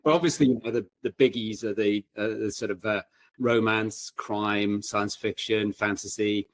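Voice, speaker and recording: Low-voiced man, hesitant panel guest. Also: fairly even intonation, normal speed. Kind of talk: casual panel discussion.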